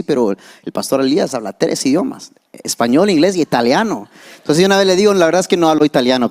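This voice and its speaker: A young man, whose first language is English, preaches a church sermon. He keeps things conversational with asides and frequent restarts. His voice sits at an ordinary middle pitch for a man. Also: a little mellow. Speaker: pastor.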